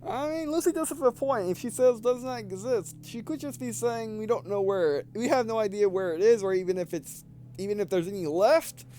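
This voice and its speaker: A man doing casual let's play commentary, reading game dialogue aloud and thinking out loud, often repeating and restarting his phrases. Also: high-pitched, brisk, a little hiss or hum, rough recording. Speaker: gameplay video host.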